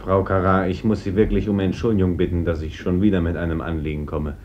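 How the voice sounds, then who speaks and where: A man's voice: deep voice, flat delivery, quick pace, darkish tone, close to an everyday voice. A man playing a courteous, earnest young village priest in a German radio play, polite and formal. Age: young adult. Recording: dull, slightly noisy old radio recording.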